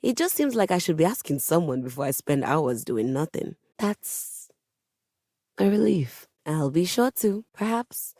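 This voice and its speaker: A woman's voice: deep voice, big pitch swings, measured pace, warm tone, dry recording, studio quality, heavily acted. A woman voicing a personified piano in a video game, a pompous and socially awkward composer who speaks in formal, melodramatic diction. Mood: tentative. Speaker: video game character voice actor. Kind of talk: theatrical video game character dialogue.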